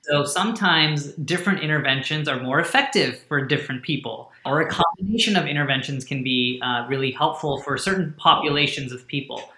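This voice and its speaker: A man's voice: on the high side, fairly quick, hardly any pauses, pushed and bright, roomy sound. A man whose first language is Hindi, a startup founder who explains his work as a guest in an earnest way. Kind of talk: podcast interview.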